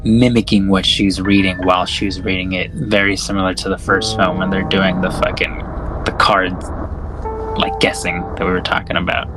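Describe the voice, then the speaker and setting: Low-voiced, profane man chatting over music. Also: little pitch movement, normal speed, rough recording. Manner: profane, slangy, self-deprecating. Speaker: podcast co-host recapping a film. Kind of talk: casual film discussion.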